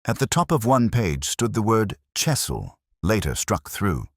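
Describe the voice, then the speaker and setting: A man's voice: lowish voice, sing-song, a little slow, no room sound, studio quality. A man narrating a dramatic detective story as a scripted audiobook reading.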